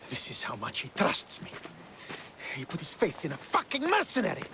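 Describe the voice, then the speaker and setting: A man's voice: fairly high pitch, huge pitch swings, moderate pace, noisy background. A man acting as a bitter, proud Spartan commander in a video game, curt and sneering in manner. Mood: bitter, hostile. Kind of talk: cinematic video game dialogue. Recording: music behind the voice. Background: game soundtrack music.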